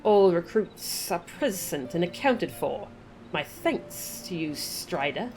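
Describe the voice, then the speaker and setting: A woman's voice: fairly low pitch, expressive, darkish tone, soft background music, low-quality recording. A woman voicing a stern, proud trainer of rebel recruits, speaking formally and with measure in a game. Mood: stern, measured. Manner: measured, formal, archaic phrasing. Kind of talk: reading game dialogue in character.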